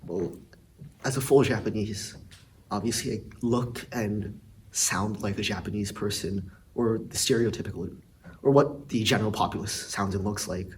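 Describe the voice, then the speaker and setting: A teenage boy shares his view slowly and carefully. His voice sits on the low side. He sounds a little off-mic, with a faint hum behind him. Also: distant mic. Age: teens. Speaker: student. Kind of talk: opinion statement in a discussion.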